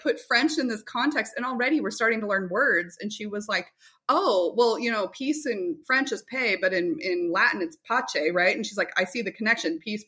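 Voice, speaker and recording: A woman's voice: medium pitch, a bit bright. A middle-aged woman giving chatty, casual interview answers as a company representative, often telling anecdotes and quoting dialogue. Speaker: guest, homeschool curriculum company representative.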